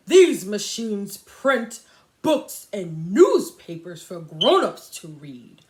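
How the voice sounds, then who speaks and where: A woman's voice: medium pitch, reverberant. A woman putting on a bellowing, haughty freight engine. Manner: loud bellowing, slow and pompous.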